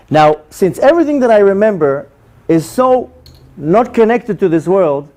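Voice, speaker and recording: A man in his forties with an Israeli accent, a native Hebrew speaker, giving an informal, animated personal testimony as a religious lecturer. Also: sits high. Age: 40s.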